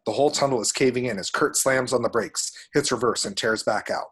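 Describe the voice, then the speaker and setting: A man with a husky voice narrating action descriptions at a screenplay table read. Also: mid-range voice, fairly quick, a bit bright.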